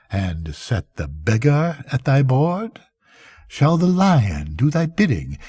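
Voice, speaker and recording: A man's voice: medium pitch, dark tone, husky, no echo, studio quality, voice pushed up, full character voice. A man voicing a grave, aged, world-weary bishop in a bedtime storybook, giving solemn counsel in archaic phrases.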